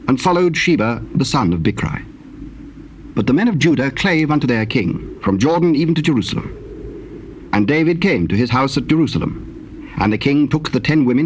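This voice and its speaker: A man giving a solemn, measured scripted narration of dramatized scripture. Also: medium pitch. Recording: noisy cassette tape recording.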